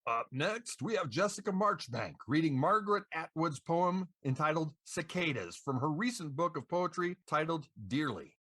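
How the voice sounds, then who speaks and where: Lively man, slightly high voice. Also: moderate pace, hardly any pauses, no room sound. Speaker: podcast host. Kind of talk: scripted host banter and introductions.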